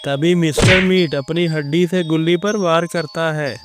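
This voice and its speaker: A man's voice: a little high, steady tone. A man narrating a comic cartoon story from a script, reading out all the characters' lines himself. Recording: music behind the voice. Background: music.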